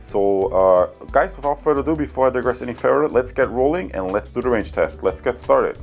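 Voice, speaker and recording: A man's voice: medium pitch, lively intonation, a little fast, vocal fry, faint music behind. A man hosting a casual, unscripted product review, talking informally and directly to his viewers. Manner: informal, addresses viewers as guys.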